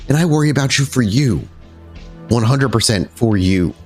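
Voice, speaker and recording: A man voicing a worried, loyal young woman in a tabletop roleplay, halting and blunt, exasperated but affectionate. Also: medium pitch, animated, darkish tone, faint music behind, natural voice. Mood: concerned.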